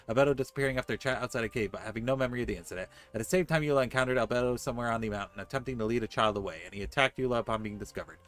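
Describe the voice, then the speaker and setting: Bright-voiced man streaming a game. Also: mid-range voice. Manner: informal, joking, exclamatory. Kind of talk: casual gameplay commentary. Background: faint game music.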